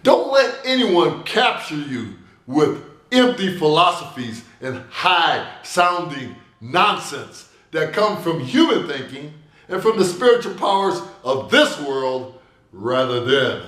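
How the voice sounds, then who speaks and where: An older man giving a personal sermon to camera, telling stories with big gestures and a booming voice, recorded in a small room indoors. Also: slow, echoey room. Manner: animated storytelling with big gestures.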